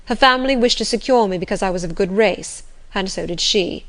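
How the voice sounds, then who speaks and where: A woman voicing a passionate, brooding, imperious gentleman in a classic novel audiobook. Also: medium pitch, a little fast, close to an everyday voice. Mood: bitter, impassioned. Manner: impassioned, imperious, urgent.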